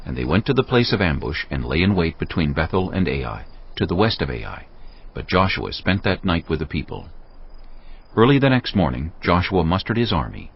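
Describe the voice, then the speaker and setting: A man narrating a dramatized Bible as scripted storytelling, measured and dramatic. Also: sits low, creaky, breathy edge, lo-fi sound.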